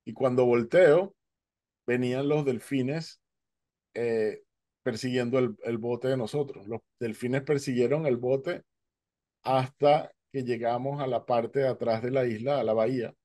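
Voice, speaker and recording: A man's voice: mid-range voice, fairly even intonation, a little slow, dark tone, dry recording, clean studio sound. A man in his forties telling a personal testimony on a headset mic at home, acting out the dialogue as he goes. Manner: vivid storyteller, acting out dialogue. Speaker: guest speaker. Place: home, indoors, virtual background.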